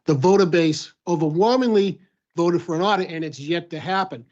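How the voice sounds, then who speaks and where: A man's voice: a little high, a little mellow. A man bluntly and indignantly opposing a tax during public comment at a meeting. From Massachusetts. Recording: dull lo-fi meeting audio.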